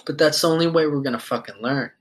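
A man's voice: a little high, fast talker. A man in his twenties hosting a podcast, talking loosely and rambling in a casual monologue, swearing often and leaning on fillers. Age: 20s. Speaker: podcast host.

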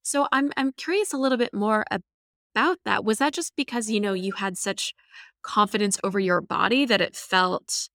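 A woman interviewer asks follow-up questions with curious encouragement. Her voice sits at a middle pitch. The sound is dry and close. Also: moderate pace, fairly bright tone, no room sound.

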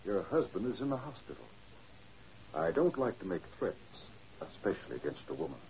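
An actor playing a cold, menacing, smooth-talking racketeer in 1940s radio style. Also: male voice, low voice, sing-song, a little fast. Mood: smooth menace. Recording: muffled old radio recording, faint noise.

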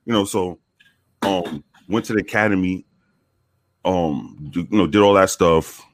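A man from the Bronx with a very deep voice, telling profane, animated stories with many restarts in a casual interview. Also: a little slow, a little hiss or hum. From the Bronx, New York. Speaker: guest, former police officer.

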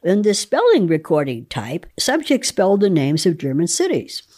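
A woman reading research text aloud in a deliberate, articulate way, breaking off for wry spontaneous asides. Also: on the low side, huge pitch swings, mellow. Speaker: guest reader and commentator.

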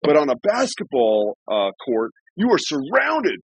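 Man, forties, husky, dry close sound, chatty host. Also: fairly high pitch, wildly swooping pitch, unhurried, dry recording. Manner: chatty, rambling with restarts and laughs. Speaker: podcast host. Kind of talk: casual interview. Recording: dull lo-fi sound.

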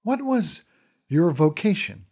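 A man voicing a regal fairy queen who speaks in calm, formal, measured phrases. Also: fairly high pitch, slightly rough, voice pushed up, full character voice.

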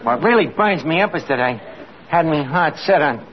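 An actor playing a gullible, good-natured tavern worker with a New York accent and ungrammatical street talk in an old radio comedy. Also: male voice, fairly high pitch, animated, normal speed, natural voice. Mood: glum. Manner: ungrammatical working-class wisecracking. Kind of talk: 1940s-50s radio comedy acting. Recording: muffled old radio, heavy noise, audience.

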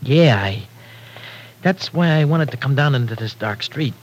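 An American actor playing a lovestruck young tough guy in an old-time radio drama, talking in halting, slangy, streetwise speech. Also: mid-range voice, expressive, natural voice. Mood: nervous. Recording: noisy vintage radio recording.

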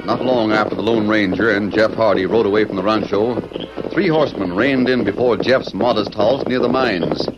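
A man's voice: on the low side, normal speed, heavy background noise. A man narrating an old-time radio serial in a formal, dramatic storytelling manner. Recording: muffled vintage radio broadcast.

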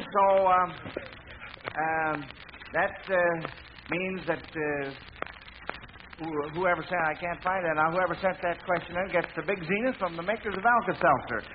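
A man hosting a 1940s radio quiz show, addressing contestants in a formal announcer's manner. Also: high voice. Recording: noisy lo-fi vintage radio broadcast.

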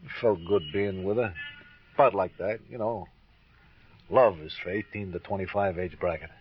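An actor in a 1950s radio crime drama playing a boozy, rueful ex-husband suspected of murder, needling and slangy. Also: male voice, deep voice, steady tone, moderate pace, a little hiss or hum, lightly acted. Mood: wistful. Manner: sardonic, slangy, over-obliging. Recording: dull lo-fi old radio recording.

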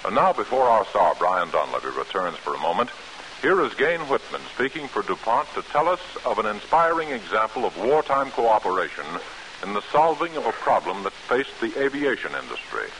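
Animated, low-voiced old-time radio announcer, male. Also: fairly quick, poor audio. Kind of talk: formal scripted 1940s radio announcing. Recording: faintly hissy old radio broadcast.

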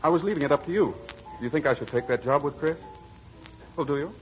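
A man's voice: fairly high pitch, fast talker, close to an everyday voice. An actor playing a breezy, impatient young man in old-time radio drama, boyish and slangy. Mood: probing, reflective. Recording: muffled old radio recording, music behind.

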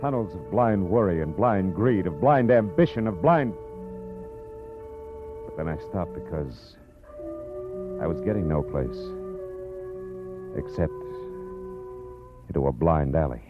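Hard-boiled detective, deep, dry and brooding, 1940s radio noir, dull old sound, faint music. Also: male voice, expressive, measured pace, pauses often. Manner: dry, brooding, tough-talking. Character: hard-boiled male private eye, world-weary and calm.

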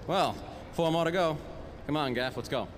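An actor playing a crude, cynical police boss with blunt, slangy talk in a 1940s-style noir radio drama. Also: male voice, fairly high pitch, moderate pace, slight background noise, lightly acted. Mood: gruff, sardonic.

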